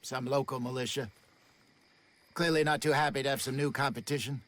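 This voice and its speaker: An actor playing a wily, genial older American outlaw con man with a smooth, wordy, theatrical manner in a video game cutscene. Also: mid-range voice, steady tone, a little fast, fairly bright tone, dry recording, natural voice. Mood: reflective.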